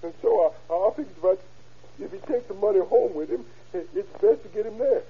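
Frantic, high-pitched, pleading murder suspect. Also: male voice, a little fast, mellow. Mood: nervous confessing. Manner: stammering, folksy ungrammatical speech. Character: frightened, pleading male murder suspect breaking under questioning. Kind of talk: old-time radio crime drama acting. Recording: tinny, narrow-band vintage radio recording.

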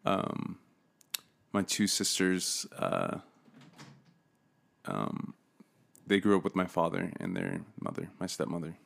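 Deep, creaky-voiced man being interviewed. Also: big pitch swings, slow, long pauses, fairly bright tone. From grew up overseas, in Russia, China, Taiwan and Uzbekistan. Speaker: podcast guest. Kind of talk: casual interview, telling own story.

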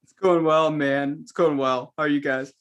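A man's voice: a little high, fairly even intonation, normal speed, fairly bright tone. A young man from the Seattle area, a podcast guest, joking and self-deprecating on a video call. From Seattle area, Washington. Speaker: podcast guest, seminary student. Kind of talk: casual video call chat.

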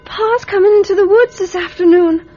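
A little farm girl on radio, super high voice, anxious n earnest, muffled sound. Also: moderate pace, dark tone, faint music behind. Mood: earnest, worried. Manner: childlike, hesitant, pleading. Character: earnest nine-year-old farm girl, anxious, curious. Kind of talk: radio drama acting. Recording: dull radio recording, faint noise.

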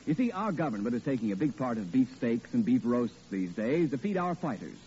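Male announcer, lively pitch, persuasive patter, dull old radio recording. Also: a little hiss or hum. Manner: brisk, persuasive salesman patter.

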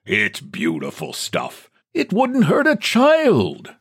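A man's voice: mid-range voice, huge pitch swings, a little slow, breathy edge, voice pushed up, heavily acted. A man voicing a stout, hearty middle-aged businessman with a jovial, boastful manner.

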